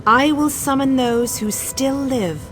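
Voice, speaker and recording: A woman's voice: high voice, slow. An actress voicing an imperious, ancient goddess in a fantasy game, haughty, commanding and coldly regal in her delivery. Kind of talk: dramatic fantasy game dialogue. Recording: studio voice under prominent music.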